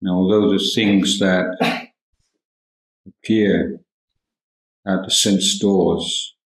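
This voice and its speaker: A deep-voiced man speaks as a Buddhist meditation teacher in an echoing room. His delivery is calm and extremely unhurried, with long pauses between short, measured phrases. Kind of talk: guided meditation talk. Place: indoor room at a monastery. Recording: roomy, echoing hall sound.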